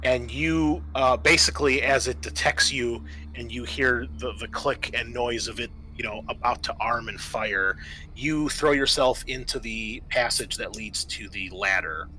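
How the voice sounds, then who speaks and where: A man acting as game master, improvising narration and explaining rules, often pausing mid-sentence as he thinks. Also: medium pitch, sharp tone, low-quality recording. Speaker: game master and host of a tabletop roleplaying session. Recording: noticeable background room noise.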